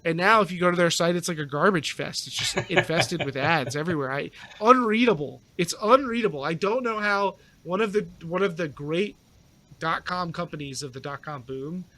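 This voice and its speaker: A man's voice: fairly high pitch, moderate pace, bright, forceful tone. A man chatting casually as a podcast guest, speaking in an opinionated, emphatic way. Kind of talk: casual chat.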